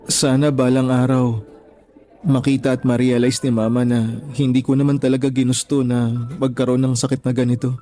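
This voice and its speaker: A man's voice: mid-range voice, flat delivery, normal speed, dark tone, faint music behind, close to an everyday voice. A man acting a troubled, self-doubting young boyfriend in a Tagalog radio drama, speaking in an earnest, apologetic, emotional way. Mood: tender, wistful.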